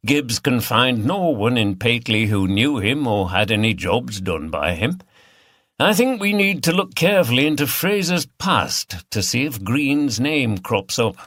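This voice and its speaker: Man voicing a bright-toned, commanding senior detective. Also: medium pitch, big pitch swings, measured pace, no room sound, clean studio sound. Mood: thoughtful. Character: senior male police detective, thoughtful, brisk, commanding.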